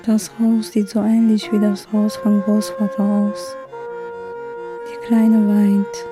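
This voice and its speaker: Storyteller: woman, unhurried and fairly even delivery, brief simple sentences. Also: medium pitch. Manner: short, simple phrases. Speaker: storyteller retelling a film. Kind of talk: slow, simple present-tense retelling for a radio feature. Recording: music behind the voice.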